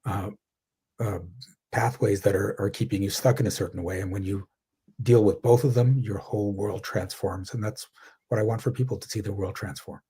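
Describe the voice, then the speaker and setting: A man with a deep, mellow, husky voice introduces himself on a panel. He leans hard on his points and often pauses on fillers. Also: fairly even intonation. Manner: emphatic, with many fillers. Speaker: panel speaker.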